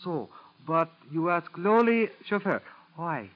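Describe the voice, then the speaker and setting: An actor playing a suspicious, falsely humble Japanese chauffeur with halting, broken English and a Japanese accent, in 1940s radio style. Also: male voice, a little high, very animated. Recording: dull 1940s radio recording.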